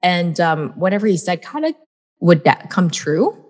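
A woman's voice: fairly low pitch, sing-song, moderate pace, a little mellow. A woman in her thirties from the United States, telling her life story as a podcast guest in a chatty way, full of "um" and "like". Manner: chatty, many fillers like "um" and "like". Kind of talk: casual storytelling. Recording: long hall reverb.

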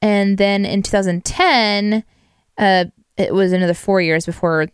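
A woman co-hosting a casual music review chat, thoughtful and soft-spoken, hedging her points. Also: medium pitch, steady tone, unhurried, clean tone, dry recording, studio quality. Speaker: podcast co-host. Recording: music playing in background.

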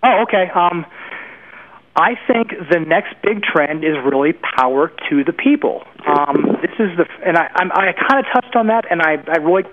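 A man's voice: on the high side, expressive, normal speed, slight background noise. A man speaking as an expert guest in an interview, giving opinionated conversational commentary and repeating phrases for emphasis. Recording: narrow phone-like band, lo-fi internet radio.

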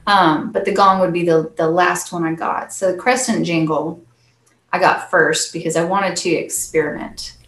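A soft-spoken woman, a percussionist guest, chatting earnestly and laughing often in an interview. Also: fairly low pitch, steady tone, normal speed, echoey room. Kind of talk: casual interview chat.

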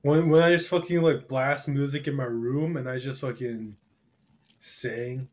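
A man's voice: mid-range voice, steady tone, measured pace. A young man chatting casually on a podcast, slangy and swearing often, calling people dude. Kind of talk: casual chat.

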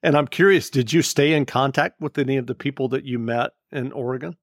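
A man's voice: medium pitch, brisk, no echo, clean studio sound. A man interviewing an author with brief, curious questions.

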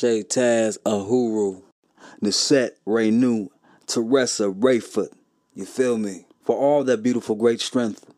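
A man presents music in a casual way, handing out dedications and shout-outs. His voice sits at an average male pitch.

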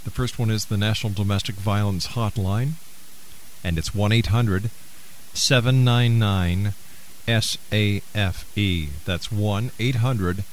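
A man's voice: on the low side, measured pace, slight background noise, rough recording. A man hosting a radio talk show, steadily reading out phone numbers and station plugs between segments.

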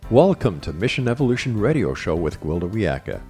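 A man's voice: on the low side, sing-song, fairly quick, over music. A man announcing a radio show in scripted introduction style.

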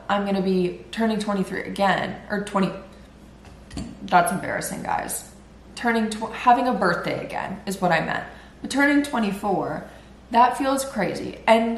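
A woman's voice: mid-range voice, slight background noise. Twenty-something woman, stuffy nasal voice, rambly chatty podcast talk, echoey room. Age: 20s. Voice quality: nasal, congested. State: recovering from illness. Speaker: podcast host. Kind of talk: casual solo podcast chat.

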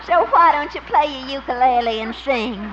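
Woman acting a high-pitched, coy radio sweetheart. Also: normal speed, lightly acted. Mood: coy, coaxing. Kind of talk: 1950s radio situation comedy acting. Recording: muffled, noisy old radio recording.

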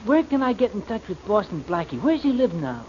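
High-pitched, fidgety police informant. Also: male voice, big pitch swings, a little fast, a little mellow, husky, heavily acted. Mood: nervous, wheedling. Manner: hesitant, ingratiating, repeats a verbal tic. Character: fidgety, wheedling small-time male police informant. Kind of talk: 1940s radio acting. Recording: dull noisy vintage radio recording.

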